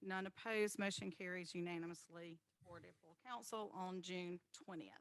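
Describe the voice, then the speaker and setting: A woman leads a city hall committee meeting, her voice bright and forceful, slightly lower than most. She works through motions and votes in a procedural, businesslike way. The sound is close and dry. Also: steady tone, normal speed. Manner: businesslike, procedural, with frequent um fillers. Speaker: committee chair.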